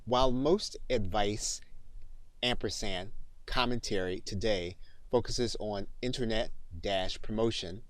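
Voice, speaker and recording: A man narrating an instructional software tutorial with methodical, deliberate phrasing. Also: lowish voice, no room sound. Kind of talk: tutorial narration with spoken dictation demonstration.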